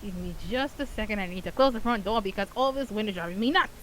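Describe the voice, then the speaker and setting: A game streamer giving casual, chatty commentary, often halting and stammering over repeated words. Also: mid-range voice, fairly bright tone, low-quality recording.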